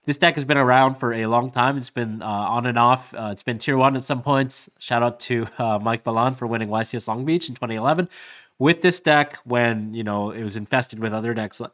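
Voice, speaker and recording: A man's voice: mid-range voice, brisk, a bit bright. A man hosting his own video, casually walking through a card-game deck with lots of "like" and "uh" fillers. He is sick and losing his voice, sniffling as he goes. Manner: rambling, many "like" and "uh" fillers, upbeat. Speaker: YouTube host.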